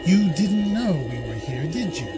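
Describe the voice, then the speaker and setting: An actor playing a mocking, haughty villain in an audio drama, sardonic and taunting. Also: male voice, high-pitched, big pitch swings, measured pace. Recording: loud music under the voice.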